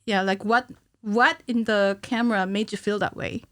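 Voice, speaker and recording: A woman in her thirties explaining things informally and haltingly as a guest in a casual studio interview. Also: mid-range voice, steady tone, fairly bright tone. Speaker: podcast guest, cinematic animator. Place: small podcast studio indoors. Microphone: studio microphone on stand, with headphones.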